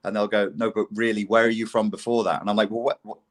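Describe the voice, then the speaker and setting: A low-voiced man chatting casually on a podcast. His talk is blunt and sweary, and he is quick to mock himself. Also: level tone. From England. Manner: blunt, sweary and self-mocking.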